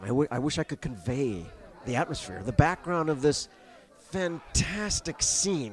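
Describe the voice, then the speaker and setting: An actor playing an excited, stammering radio reporter in an old-time radio drama, with a formal and urgent announcer delivery. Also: male voice, fairly high pitch, lightly acted. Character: flustered, excited male radio news reporter at a disaster scene.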